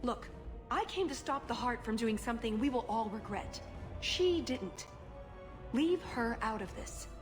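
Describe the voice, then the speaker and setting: Earnest, high-voiced elven spy. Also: female voice, animated, unhurried, music in the background. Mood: defiant. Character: earnest, idealistic young elven woman spy. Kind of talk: video game cutscene acting.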